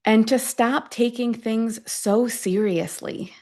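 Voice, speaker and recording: A woman leading a guided affirmation meditation, speaking deliberately in short phrases with long pauses between them. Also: on the high side, dark tone. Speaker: guide of a self-affirmation tapping session.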